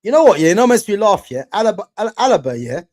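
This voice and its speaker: Chatty young man, high voice. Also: sing-song, fast talker, a little mellow. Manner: chatty, slangy, talks to chat, restarts often. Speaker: live watch-along streamer. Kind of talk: casual football chat with viewers.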